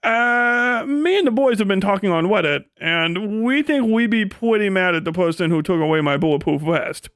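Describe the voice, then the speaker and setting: High-voiced man, sweary and incredulous. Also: normal speed, a bit bright, clean tone, no echo, clean studio sound. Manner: breaks often into laughter while talking. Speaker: live streamer. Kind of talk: casual rant and commentary to a stream audience.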